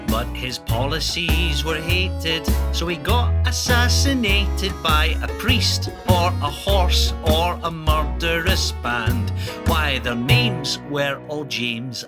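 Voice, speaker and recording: A woman sings a comic storytelling song. Her voice sits fairly low and moves slowly, with prominent music behind her. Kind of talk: comic narrative singing. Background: loud music.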